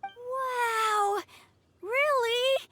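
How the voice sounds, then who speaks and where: A woman voicing a sweet, excitable little boy in an anime fantasy game, eager and sincere. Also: very high-pitched, extremely slow, slight background noise, exaggerated voice. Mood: grateful, excited.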